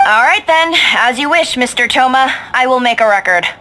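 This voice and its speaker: A woman's voice: high voice, lively intonation, a little fast, very noisy. A woman playing a politely firm, officious female clerk in a video game, formal and businesslike. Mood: courteous. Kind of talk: video game character acting.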